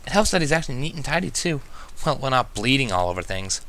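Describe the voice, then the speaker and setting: Storybook unicorn scholar, young female, bossy and easily exasperated, pitched up high performed by a male reader. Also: sing-song, fast, bright, forceful tone. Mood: matter-of-fact. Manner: pointed, fussy, protesting.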